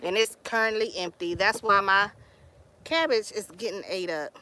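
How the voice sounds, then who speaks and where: A woman's voice: mid-range voice, moderate pace, sharp tone. A young woman with a Texan accent, chatting casually on a live stream from her garden, greeting viewers and telling stories in Southern slang. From Texas, US. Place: outdoor garden.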